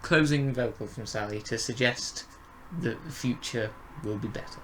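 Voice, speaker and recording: A man chatting casually as a podcast reviewer, in a thoughtful, halting way. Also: medium pitch, a little hiss or hum, poor audio. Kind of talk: casual chat reviewing an audio drama.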